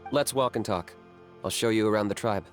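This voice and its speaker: A man voices a young hunter in a fantasy video game. He is reserved and matter-of-fact, with a fairly low voice and terse, deadpan delivery. Faint music plays behind him. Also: fairly quick, natural voice. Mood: curt, calm.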